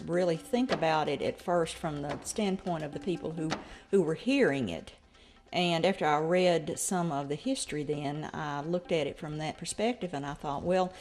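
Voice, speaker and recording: A woman's voice: low voice, darkish tone. A woman talking in an interview about her own work in community theater. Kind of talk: interview talk.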